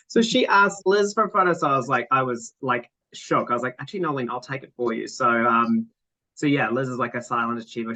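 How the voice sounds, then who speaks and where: A man's voice: medium pitch, a bit bright, no room sound, rough recording. A man from South Africa, a netball coach, casually introducing himself on a video call with chatty, rambling stories. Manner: chatty, laughing, rambling anecdotes.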